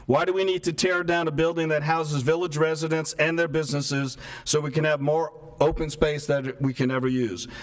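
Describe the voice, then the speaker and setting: A man with a soft, somewhat high voice addresses a town council from the floor. He speaks earnestly and stacks one rhetorical question on another. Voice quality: soft-spoken. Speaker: resident speaking at public comment. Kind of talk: public comment at a town meeting. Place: town hall meeting room. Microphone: microphone stopped working partway.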